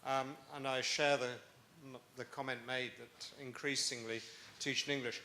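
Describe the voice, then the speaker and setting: An older man speaks up from the audience with a measured, self-assured point. His voice is bright and carries the echo of a large room, over faint background ambience. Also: medium pitch, flat delivery, slight background noise. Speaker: audience member, emeritus professor. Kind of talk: audience question at a conference.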